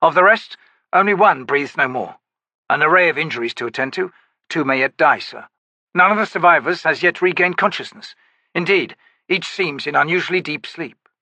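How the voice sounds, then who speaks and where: A dutiful soldier officer, as a male narrator puts him on gives his report in a raised, brisk, respectful voice. The delivery is orderly and clear, with a dry, close sound. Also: fairly high pitch, pushed and bright, dry recording, voice pushed up, heavily acted.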